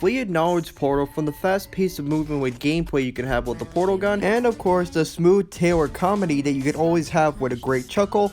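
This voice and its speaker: A man's voice: a little high, a little mellow. A man hosting a video essay, reading scripted countdown narration in wordy, awkward phrasing.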